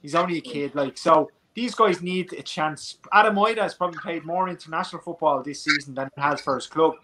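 A man's voice: fairly high pitch, a little fast, pushed and bright. An Irish man giving his opinions on football in a casual post-match chat over a video call, passionate and repeating his points for emphasis. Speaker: football pundit.

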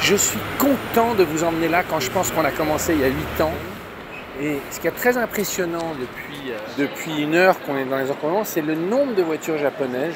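A middle-aged man hosting a car channel, talking excitedly to a handheld camera as he walks down a city street. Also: a little high. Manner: excitable, exclaiming. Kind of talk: enthusiastic travel vlog to camera. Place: busy city street outdoors. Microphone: handheld camera on a gimbal. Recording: loud traffic noise.

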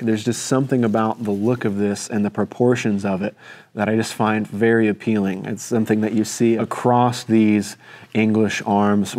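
A young man with a fairly low voice talks through the details of the objects he holds in a steady, measured way. He speaks from a table in an indoor studio, close to a clip-on mic. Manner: measured, detailed describing while handling objects. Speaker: firearms presenter. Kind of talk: informal product walkthrough.

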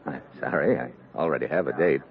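An American actor playing a tough, wry secret agent and narrator in an old radio adventure. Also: male voice, extremely low pitch, a little fast, phone-quality sound. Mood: wry, guarded. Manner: wry, hard-boiled, sardonic. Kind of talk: 1950s radio adventure acting. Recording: old band-limited radio recording with music.

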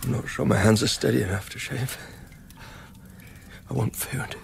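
An actor playing a desperate, exhausted British gentleman survivor in a radio drama, muttering in broken, repeated phrases and calling out. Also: medium pitch, fairly even intonation, moderate pace, gravelly, slight background noise. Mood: weary.

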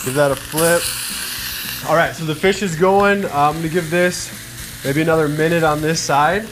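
A man's voice: a little high, moderate pace, rough recording. Upbeat man hosting a cooking show. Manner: energetic, chatty, addresses viewers as guys. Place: home-style studio kitchen, indoors. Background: background music, sizzling pan.

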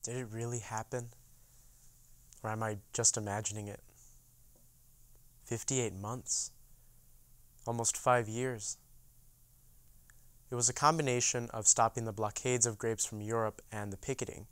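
A man's voice: fairly low pitch, steady tone, measured pace, pauses often, clear, resonant tone, no room sound, natural voice. A young man playing a proud union boycott veteran in a theatrical, first-person storytelling monologue. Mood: reflective, proud. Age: young adult. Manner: theatrical storytelling, acts out dialogue. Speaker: actor in oral history dramatization.

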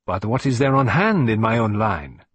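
A man's voice: lowish voice, big pitch swings, normal speed, slightly rough, dull audio. A man voicing a fussy, vain older male detective with a put-on French accent, in a precise, self-satisfied manner. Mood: musing. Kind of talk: audiobook reading.

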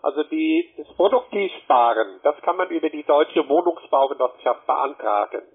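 A man giving a wordy telephone sales pitch, often adding a short checking question. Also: animated, normal speed, breathy edge. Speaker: telemarketer. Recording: muffled narrowband phone line.

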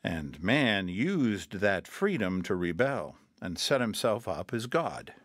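A patient preacher type, low voice that rolls up and down, slow, dry sound. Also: male voice, no echo, exaggerated voice. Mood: earnest. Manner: calm, earnest, quotes scripture. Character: patient male mission counselor, earnest preacher. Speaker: mission counselor. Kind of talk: radio drama acting.

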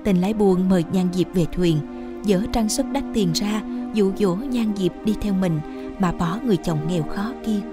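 A woman's voice: medium pitch, moderate pace. A woman reading scripted fairy-tale narration as a storyteller. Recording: music behind the voice. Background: music.

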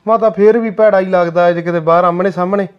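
Man as whiny spoiled son, high-pitched, fast, lo-fi sound. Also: steady tone, smooth, close to an everyday voice. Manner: whines and pleads. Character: spoiled young adult son, whiny and demanding.

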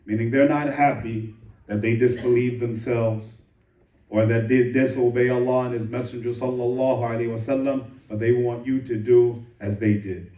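A man giving a Friday sermon as imam, reciting Arabic verses quickly and translating them into English. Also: medium pitch, fairly even intonation, mellow. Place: mosque prayer hall. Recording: echoey room, voice from a distance.

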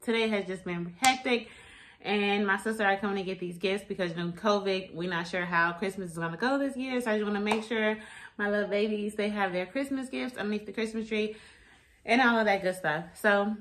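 A young woman chatting casually and informally to camera, her voice a little raspy and tired. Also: medium pitch, brisk, a bit bright, slight background noise. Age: young adult. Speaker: vlogger. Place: small room at home, indoors.

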